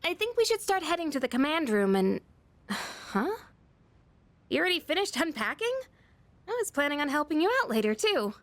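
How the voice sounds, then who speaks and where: A woman voicing a candid, friendly teenage schoolgirl with self-doubt, in a casual, expressive anime-style game performance. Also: high voice, normal speed, dry recording.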